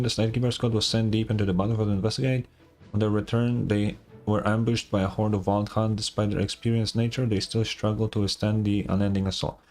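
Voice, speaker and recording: Male livestreamer, lowish pitch, relaxed slangy game commentary and casual read-alouds over faint music. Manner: laid-back, slangy, swears.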